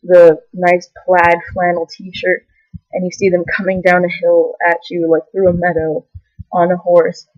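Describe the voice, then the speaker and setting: A woman's voice: fairly low pitch, monotone, measured pace, dry recording. A young woman talks straight to her webcam in a loose, meandering way, full of ums and gushing asides. The sound is dry and close, with a dull, lo-fi webcam quality. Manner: meandering, filler-heavy, giggly enthusiasm. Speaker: vlogger. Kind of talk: rambling casual vlog. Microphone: camera mic.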